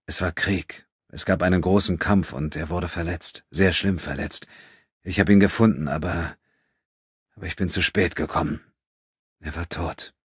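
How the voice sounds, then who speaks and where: Man playing a very deep, haunted, grieving father. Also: steady tone, slightly rough, no echo, clean studio sound. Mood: heavy, grieving. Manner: halting, trembling, low-voiced.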